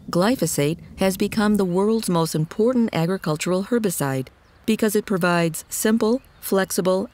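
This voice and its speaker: A woman reading scripted documentary narration in a measured, articulate, explanatory way. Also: mid-range voice, a little mellow.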